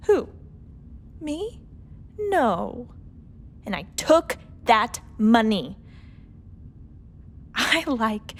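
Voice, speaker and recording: An actress playing a wry, world-weary space pilot in a radio play, speaking in a measured, confessional monologue. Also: female voice, on the high side, a little hiss or hum. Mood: wistful, reflective.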